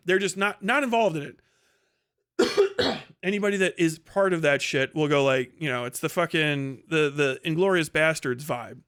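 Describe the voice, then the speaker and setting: Higher-pitched man with a clear voice, ranting bluntly. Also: animated, measured pace, a bit bright. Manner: profane, blunt, scornful. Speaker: livestream host and commentator. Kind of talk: casual opinionated rant to stream audience.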